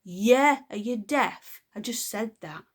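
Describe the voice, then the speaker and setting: A spoiled, bratty little girl snaps and sneers in a loud, blunt voice, as a woman reader puts her on. Her pitch swings about as she drags her words out with scorn, straight from a storybook. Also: expressive, slow. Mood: sneering. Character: bratty rude young girl.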